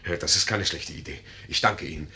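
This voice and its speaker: An actor playing a vain, gullible young army lieutenant, brash and pompous, in a 1950s radio drama. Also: male voice, low voice, fairly quick, bright, forceful tone. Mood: puzzled, agreeable. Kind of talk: 1950s German radio play acting.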